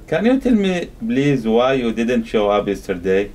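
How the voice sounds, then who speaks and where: A man's voice: mid-range voice, sing-song, unhurried, a little mellow. A man voicing an easygoing male friend in an amateur puppet skit, with careful, learner-like scripted English. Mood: questioning. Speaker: amateur puppet show performer.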